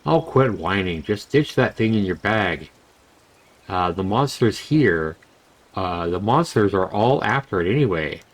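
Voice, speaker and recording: A man's voice: lowish voice, animated, unhurried. A man voicing a worried, grumbling young adventurer from a visual novel in a dry, exasperated manner. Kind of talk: visual novel character reading.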